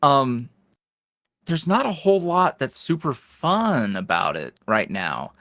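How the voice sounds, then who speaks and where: A man's voice: medium pitch, big pitch swings, takes it slowly, pushed and bright, no room sound, clean studio sound. A man, an ASMR roleplay creator, chatting as a guest in a casual interview, rambling with many fillers and restarts.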